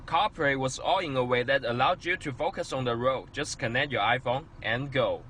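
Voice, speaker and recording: Male product demonstrator, scripted narration, non-native phrasing, mid pitch, slight background noise. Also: moderate pace, bright, forceful tone.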